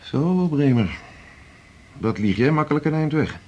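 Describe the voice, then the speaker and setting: Husky, domineering doctor, animated. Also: male voice, a little high, measured pace, lo-fi sound. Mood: probing. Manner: pointed, condescending, commanding. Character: domineering, prying male senior hospital doctor, needy underneath. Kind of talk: 1980s Dutch radio drama acting.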